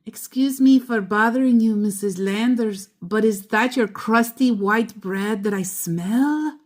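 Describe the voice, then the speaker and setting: A courteous grandmother from a children's storybook, acted by a woman reader. She speaks slowly and politely in a friendly way, a touch higher than plain narration. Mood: polite, eager. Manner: courteous, storybook character delivery. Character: polite, friendly grandmother asking neighbours for trades.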